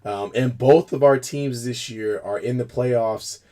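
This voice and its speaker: A man talks through a casual sports chat, his voice raspy after a cold. He rambles loosely, laughs between lines and restarts his sentences. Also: medium pitch, a little slow, dark tone. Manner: chatty, laughs often, trips over words. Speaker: co-host. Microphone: video call.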